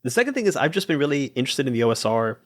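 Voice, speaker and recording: Eager man with a mid-pitched voice. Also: big pitch swings, rapid-fire. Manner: eager, enthusiastic. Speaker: podcast guest and player. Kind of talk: casual chat.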